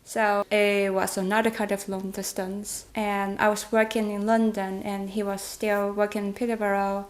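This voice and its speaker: A woman's voice: mid-range voice, little pitch movement, moderate pace, hardly any pauses. The giggly, hesitant thirty-year-old Taiwanese woman you would hear on a lifestyle channel, a native Mandarin speaker casually telling viewers about her life. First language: Mandarin Chinese. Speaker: vlog host. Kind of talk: casual relationship chat.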